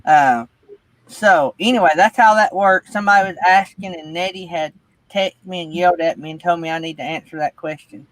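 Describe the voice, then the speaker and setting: A man's voice: high-pitched, fairly quick, fairly bright tone, no room sound, low-quality recording. A man with a Southern US accent, chatting casually as host of a livestream. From northeast Alabama, United States. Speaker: host of a livestream chat show. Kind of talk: casual chat.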